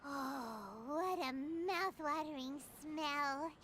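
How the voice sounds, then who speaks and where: A woman voicing a small girlish fairy companion who is chirpy and eager, talking in an excitable, squeaky sing-song way. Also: drawn-out words, background noise. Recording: game audio with loud music behind.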